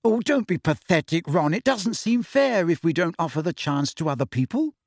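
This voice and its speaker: Male reader doing a keen, bossy schoolgirl witch, raised pitch, dry close sound. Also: sing-song, moderate pace, dry recording. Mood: earnest. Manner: eager, lecturing. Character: earnest, bossy teenage girl student witch.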